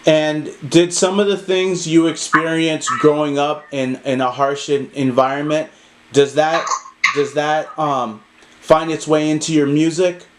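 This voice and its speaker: A man's voice: a little high, steady tone, a little hiss or hum, poor audio. Polite male interview host. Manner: polite, measured questions. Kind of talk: hosting an interview.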